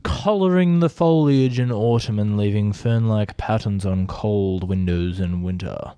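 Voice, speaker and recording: A man's voice: fairly low pitch, measured pace, mellow, smooth, no room sound. An Australian man co-hosting a podcast in casual, joking chat. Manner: rambling, joking, loose with swearing. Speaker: podcast co-host.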